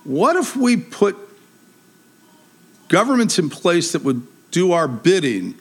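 A man preaching a sermon in a lively, conversational way, with humor and a big voice. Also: sits high, slow pace, husky.

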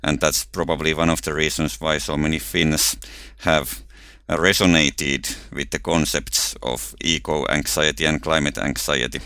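A man with a Finnish accent explains ideas with care, often catching and restarting his words. His very deep voice has a bright, smooth clarity. The sound is dry, with no room around it. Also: steady tone, unhurried, hardly any pauses, dry recording. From Finland. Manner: careful, explanatory, with frequent small restarts. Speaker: researcher and guest. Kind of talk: thoughtful podcast conversation.

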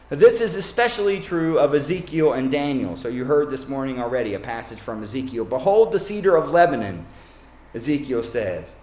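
Conversational preacher in an echoey room. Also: male voice, on the high side. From Kentucky. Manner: conversational, with asides and self-corrections. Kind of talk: sermon.

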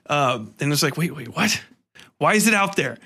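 Male podcast host, bright forceful voice on the higher side, chatty, sweary and animated. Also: normal speed, slightly rough. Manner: animated, freely profane, self-deprecating. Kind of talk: casual interview chat.

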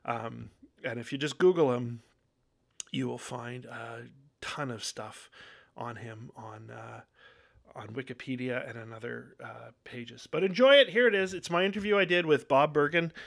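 A man's voice: mid-range voice, lively intonation, normal speed, gravelly. Chatty male podcast host. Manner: chatty, rambling, trails off softly. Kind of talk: casual podcast chat. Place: home setup in Northern Virginia.

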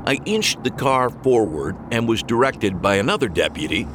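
A man's voice: medium pitch, lively intonation, normal speed, background noise. A man reading scripted first-person mystery narration with wry, dry humor.

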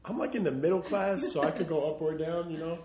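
A man's voice: on the high side, fast, a crowd in the background. A young man co-hosting a casual podcast, joking around, swearing, and teasing his co-host. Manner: joking, playful, swears and laughs. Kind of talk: casual banter. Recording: echoey room.

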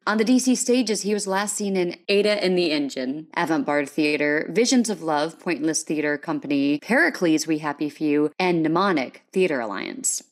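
A woman's voice: low-pitched, animated, normal speed, few pauses. A woman hosting an interview podcast, moving between prepared introductions and conversational questions.

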